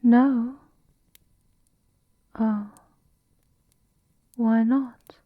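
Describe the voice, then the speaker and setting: A woman playing a dutiful, literal-minded servant construct in an ASMR roleplay, speaking softly in formal, measured phrases. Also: fairly high pitch, fairly even intonation, no echo, narrow, tinny audio. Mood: polite, patient. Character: dutiful female servant construct, polite, literal, eerily obedient.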